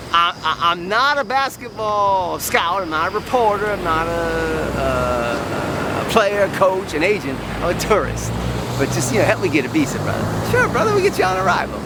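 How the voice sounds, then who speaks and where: A man telling a first-person story to camera like a boastful, playful showman, outdoors. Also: high voice. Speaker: sports travel vlogger, storyteller. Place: outdoors on a windy beach. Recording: loud surf and wind noise outdoors. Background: ocean waves, wind, surf.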